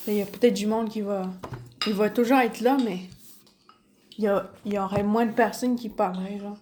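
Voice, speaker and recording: A woman's voice: medium pitch, steady tone, a little fast, a little mellow, slight background noise. A young woman with a Quebec French accent, answering interview questions hesitantly and searching for words. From Innu community, Quebec. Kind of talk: casual interview.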